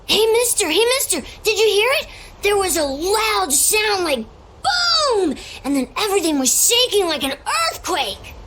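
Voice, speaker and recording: An actor voicing an excitable young child villager in a video game, eager and childlike, with music behind. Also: extremely high pitch, slow pace, a little hiss or hum, heavily acted. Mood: excited.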